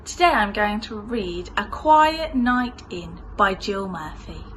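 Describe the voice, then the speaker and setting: Expressive woman storyteller with lively pitch. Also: slow, a little hiss or hum. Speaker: primary school teacher reading stories. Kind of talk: expressive children's storybook narration.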